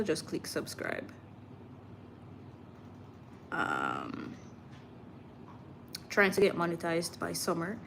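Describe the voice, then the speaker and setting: A woman with a Jamaican accent hosting a casual livestream, chatting informally with viewers and drifting into Patois. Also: fairly low pitch. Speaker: livestream host. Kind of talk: casual live chat with viewers. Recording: clear background noise.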